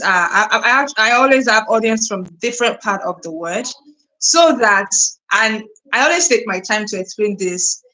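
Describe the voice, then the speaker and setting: Nigerian woman live streaming, bright clear voice, rambly with lots of ums and repeats. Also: medium pitch, low-quality recording. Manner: chatty, repeats herself, many fillers and restarts. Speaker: livestream host. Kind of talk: casual live stream chat reading viewer comments.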